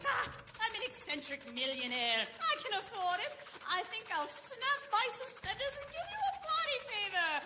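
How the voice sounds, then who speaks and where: A woman's voice: very high voice, very animated, normal speed, noisy background. A woman playing a brash, eccentric old millionaire lady in a 1930s-style radio comedy, with theatrical delivery and cackles. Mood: haughty, eccentric.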